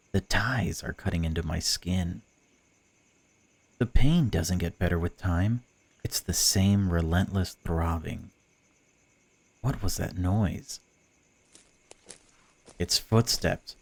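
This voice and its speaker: A man's voice: sits low, vocal fry, darkish tone, breathy edge, no echo, exaggerated voice. A man voicing a suffering, bitter captive, reading bleak first-person narration from a visual novel. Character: suffering, bitter, frightened captive bound outdoors.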